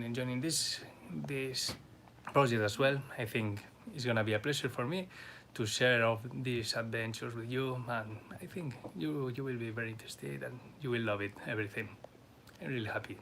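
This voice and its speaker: A man works through halting, self-conscious English as he introduces himself. His voice sits at a middle pitch, with faint ambient noise in the background. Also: a bit bright. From Basque Country. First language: Spanish or Basque. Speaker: crew member, adventure sports business owner.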